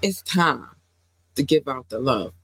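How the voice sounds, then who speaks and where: A woman co-hosting a casual podcast, talking in an animated, playful way, with slang and phrases she repeats for effect. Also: a bass voice, measured pace, gravelly, husky, no echo, poor audio. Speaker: podcast co-host.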